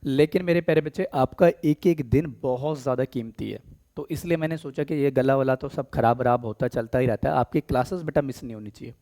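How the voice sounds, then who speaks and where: A hoarse man with an Indian accent teaching a live online class, speaking gently and slowly and clearing his throat often because of a sore throat. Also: medium pitch. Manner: affectionate, calls students "beta", clears throat often; deliberately soft, slow speech. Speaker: online exam-prep English teacher. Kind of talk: live online class, Hindi-English mix.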